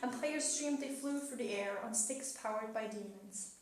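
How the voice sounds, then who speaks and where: Wise ancient spirit voiced by a woman, high-pitched, solemn, declarative, poetic dramatic reading, very echoey room. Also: normal speed, close to an everyday voice. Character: wise, knowing cosmic spirit, calmly restrained. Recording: echoey room, distant mic, faint noise.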